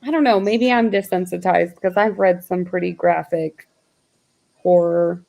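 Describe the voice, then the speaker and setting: A woman's voice: on the low side, unhurried, creaky, darkish tone, low-quality recording. A woman co-hosting a book club chat, earnest with hesitant starts. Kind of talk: casual chat.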